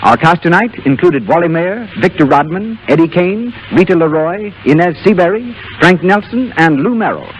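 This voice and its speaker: A man's voice: high voice, expressive, normal speed, hardly any pauses. A man reading formal scripted announcements as a 1930s radio announcer. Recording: muffled, noisy 1930s radio with audience sound.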